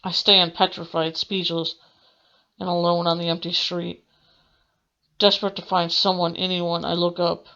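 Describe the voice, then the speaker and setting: A woman's voice: fairly low pitch, little pitch movement, moderate pace, sharp tone, highs cut off. A woman hosting a gaming let's play, reading aloud in a dramatic manner with the odd stumble. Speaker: gaming channel host.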